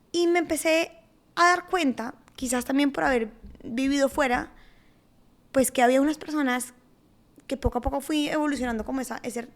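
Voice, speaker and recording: A young Colombian woman with a Colombian accent, speaking as an interview guest in an emotive, heartfelt, conversational way. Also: sits high. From Colombia, Bogotá and Cali. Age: young adult. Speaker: podcast guest.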